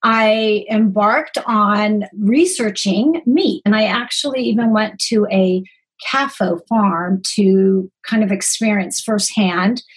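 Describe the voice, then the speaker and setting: A woman's voice: medium pitch, takes it slowly, husky, low-quality recording. A woman, telling her own story conversationally as an interview guest. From born in Greece, grew up in the United States. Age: 40s. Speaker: podcast guest, holistic nutritionist.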